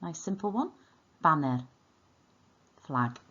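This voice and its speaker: A Welsh woman speaks as a teacher, in a fairly low voice. She gives clear instructions for an online language lesson and repeats words so learners can follow. The recording is slightly dull and lo-fi. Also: expressive, takes it slowly, a bit bright.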